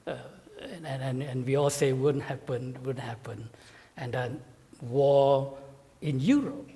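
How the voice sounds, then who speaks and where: A man giving a conference talk to pastors as a guest speaker, with a measured, halting delivery full of fillers and repeated words. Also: fairly high pitch. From Malaysia. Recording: reverberant hall sound.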